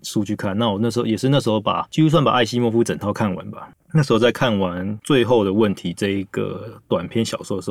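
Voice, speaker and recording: A man's voice: lowish voice, no echo, clean studio sound. A man in his thirties with a Taiwanese Mandarin accent, chatting casually as a podcast host. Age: 30s. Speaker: podcast host and script editor.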